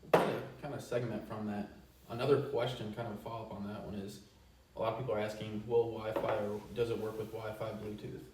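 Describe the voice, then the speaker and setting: A man's voice: lowish voice, level tone, fast talker. A man co-presenting a casual company Q&A in an informal, agreeable way. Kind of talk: casual Q&A chat. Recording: echoey, distant microphone, faint noise.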